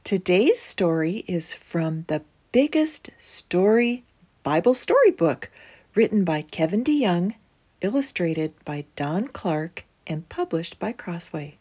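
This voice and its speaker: A woman reading a children's storybook aloud as host, with deliberate phrasing and emphatic pauses. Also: on the low side, very animated, darkish tone, husky.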